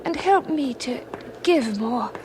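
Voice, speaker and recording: A woman's voice: high-pitched, expressive, slow pace. A woman playing an earnest, devout British spinster housemaid, dreamy and apologetic, in an audio drama. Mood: fervent prayer. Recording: noisy ambience behind the voice.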